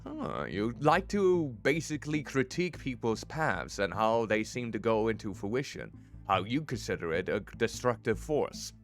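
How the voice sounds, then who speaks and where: A man streaming a story game as a VTuber, chatting with his viewers in a playful, teasing way with a deep voice. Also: sing-song, moderate pace, faint music behind. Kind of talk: casual gameplay commentary.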